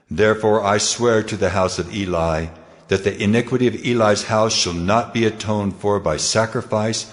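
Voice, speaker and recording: The voice of God, very low and weighty. He speaks in solemn, measured pronouncements with commanding authority. A long, cavernous reverb surrounds every word in this dramatized scripture recording. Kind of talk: dramatized audio Bible.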